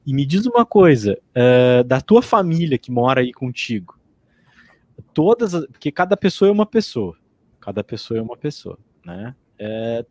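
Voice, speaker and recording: Man, medium-pitched podcast host. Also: very animated, moderate pace, a little mellow, no echo. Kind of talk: casual interview chat.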